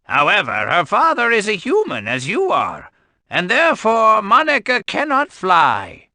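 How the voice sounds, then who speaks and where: An actor playing a grave, protective old grandfather of a winged people in a video game, with a gravelly voice. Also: high voice, sharp tone, no room sound, heavily acted. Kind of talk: English game dub voice acting.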